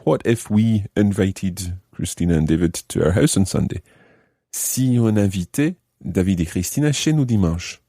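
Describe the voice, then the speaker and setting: Deep, slow, mellow male language teacher. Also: expressive, no echo, clean studio sound. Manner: didactic, careful enunciation. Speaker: language teacher, podcast host. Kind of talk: explanatory lesson, translating phrase by phrase.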